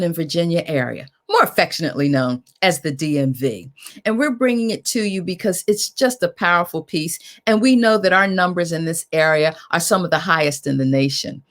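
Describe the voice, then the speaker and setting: A woman moderator giving an informal, emphatic welcome address with deliberate phrasing. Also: lowish voice. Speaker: moderator, executive director.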